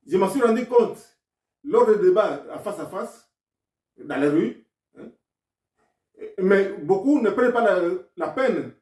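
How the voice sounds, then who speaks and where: A man with a higher-than-usual voice speaks French with a non-native accent, setting out a grammar lesson point by point. He sounds insistent and argumentative as he explains. The recording is roomy and echoey, with the mic set back from him. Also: a little mellow, far from the mic. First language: not French. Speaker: self-taught grammar commentator.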